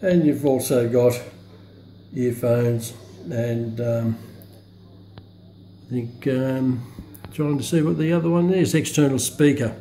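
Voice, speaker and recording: A man's voice: mid-range voice, slow pace, pauses often, mellow. Male reviewer, casual hands-on demo, hesitant and thinking aloud, echoey room, slight background noise. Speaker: radio reviewer. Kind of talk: casual, unscripted product demonstration. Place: indoor room in a home. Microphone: handheld phone camera. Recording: roomy phone recording with background noise.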